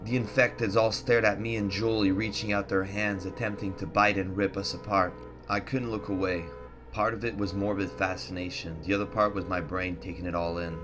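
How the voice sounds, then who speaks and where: A man's voice: low voice, level tone, brisk, over music. A man reading a first-person horror story in a tense, dramatic, scripted narration style.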